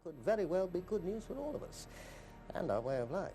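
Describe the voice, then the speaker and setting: A man playing a smooth, evasive government minister in a 1990s British video drama. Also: high voice, very animated, a little fast. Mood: placating. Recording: distant film soundtrack under crowd noise.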